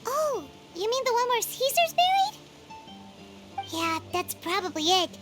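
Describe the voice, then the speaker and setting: A woman voicing a tiny, childlike, excitable fairy companion in a video game, with a squeaky voice. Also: a little slow, over music, exaggerated voice. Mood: excited, curious. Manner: speaks of self in third person, childlike. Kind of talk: English video game voice acting.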